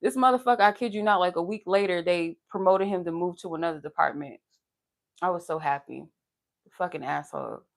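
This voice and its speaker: A woman's voice: on the low side, brisk, gravelly. A young adult woman with a soothing, pleasant voice, chatting casually and candidly with profanity and laughter on a live stream as a tarot reader. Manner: laughs while talking.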